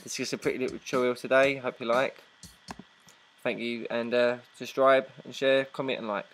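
A man presenting a casual software tutorial in an informal, halting step-by-step way. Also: medium pitch, level tone. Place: indoors, voice-over on a screen recording.

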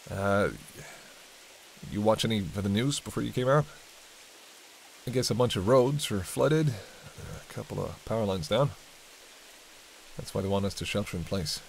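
Male actor as teasing vampire, lowish pitch, dry sarcasm, intimate roleplay delivery, light hiss and rain. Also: normal speed, creaky, pauses often, rough recording. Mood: musing, bantering. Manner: hesitant, bantering, conversational with dry sarcasm. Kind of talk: intimate audio roleplay monologue. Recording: steady hiss and rain behind.

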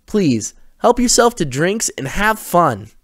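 A man's voice: sits high, big pitch swings, slow pace, no echo, clean studio sound, pitched above the performer's own voice, exaggerated voice. A man voicing a cheerful, welcoming young male host, exuberant and polite.

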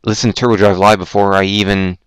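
Young male host, low smooth voice, rambling, self-deprecating, a little hoarse, dry close mic. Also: flat delivery, quick pace, no echo. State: throat going hoarse. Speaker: podcast host. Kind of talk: casual chat on a Skype call.

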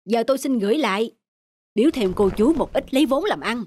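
A man voicing a genial, boastful, newly rich village neighbour in an animated folk tale, expansive and self-satisfied. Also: extremely high pitch, a little fast.

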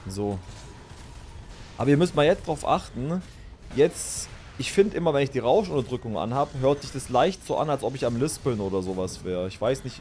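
A man's voice: medium pitch, darkish tone, slight background noise. A young man, chatting casually to his stream chat while playing, thinking aloud and thanking viewers. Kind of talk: casual game commentary to chat. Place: small indoor room.

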